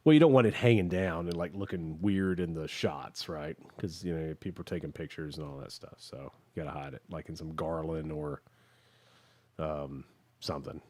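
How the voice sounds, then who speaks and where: A man co-hosting a podcast in casual chat, rambling and halting as he searches for words. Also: rumbling low, a little mellow. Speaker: podcast co-host.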